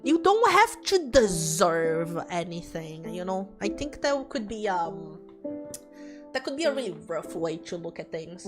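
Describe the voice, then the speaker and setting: Woman streamer with very lively pitch. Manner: expressive, exclamatory, slangy. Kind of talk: live visual novel playthrough with casual chat. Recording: music behind the voice.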